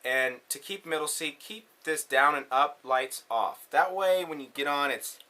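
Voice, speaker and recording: A man's voice: a little high, unhurried, bright, forceful tone, soft background music. A man hosting a casual hands-on tutorial, talking in an informal, slangy way. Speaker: tutorial host.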